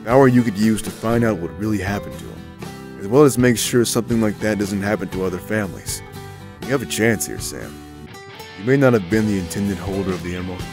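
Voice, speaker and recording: A man playing a stoic, solemn mentor in an amateur audio drama, speaking in a grave, measured way. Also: lowish voice, lightly acted. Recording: music clearly under the voice.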